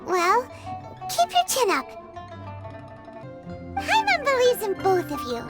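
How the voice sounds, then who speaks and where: Video game fairy sidekick: tiny, perky, very high squeaky child voice, swooping delivery, music behind. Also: female voice, wildly swooping pitch, takes it slowly, exaggerated voice. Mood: encouraging. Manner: refers to self in third person, exclamatory. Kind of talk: video game character dialogue. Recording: background music under voice.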